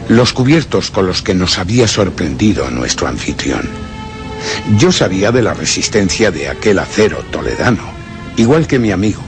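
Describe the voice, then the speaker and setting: Brooding gentleman narrator for suspense radio play; low voice, deliberate yet very expressive, music underneath. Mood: sly reasoning. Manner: measured, theatrical, deliberate storytelling. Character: brooding gentleman narrator recounting his vengeful suspicions. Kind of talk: suspense radio drama first-person narration.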